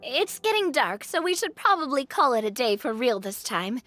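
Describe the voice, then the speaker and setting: An actress playing a cheerful, curious young woman in a video game, friendly, tactful and expressive. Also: high voice, normal speed, soft background music. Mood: awkward, tactful. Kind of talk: scripted video game dialogue.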